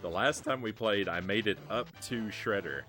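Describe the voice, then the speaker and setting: A man with a low voice talks to his live-stream audience between retro games. He is rambling and self-deprecating, restarting his sentences often. Faint music plays underneath. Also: normal speed, bright, forceful tone, poor audio. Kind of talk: casual live-stream chat while gaming.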